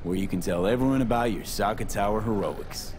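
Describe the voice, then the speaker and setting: Man playing a very deep-voiced, terse mercenary. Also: brisk, darkish tone, a little hiss or hum, close to an everyday voice. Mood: teasing. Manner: laconic, clipped, dry. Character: terse, cocky, confident male mercenary. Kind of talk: video game dramatic acting.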